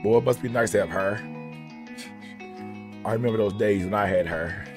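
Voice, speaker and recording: A man's voice: fairly low pitch, normal speed, over music. A man in his thirties with a Kentucky accent, losing his voice, giving a laid-back reaction to a song from a small home studio. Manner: laid-back, slangy, many "you know what I'm saying". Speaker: music reaction host. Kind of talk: casual reaction commentary.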